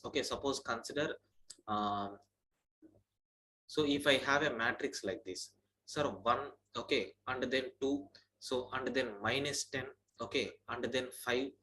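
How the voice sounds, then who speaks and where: A man's voice: medium pitch, pushed and bright, slight background noise, poor audio. Man teaching step by step. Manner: addresses listeners as sir, step-by-step walkthrough. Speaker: programming instructor. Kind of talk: online class lecture. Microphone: own mic at a computer, online class.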